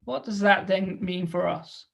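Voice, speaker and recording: A woman's voice: mid-range voice, a little slow, vocal fry, soft background music. A woman lecturing to an audience in an explanatory way, posing rhetorical questions and adding short check-in tags as she goes.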